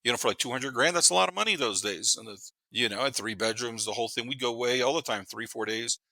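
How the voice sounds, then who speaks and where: Bright, punchy man in his fifties. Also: medium pitch, fast, creaky, no echo, rough recording. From Panama. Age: 50s. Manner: blunt, slangy, profane, repeats words for emphasis. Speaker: podcast guest. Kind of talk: casual chat, storytelling.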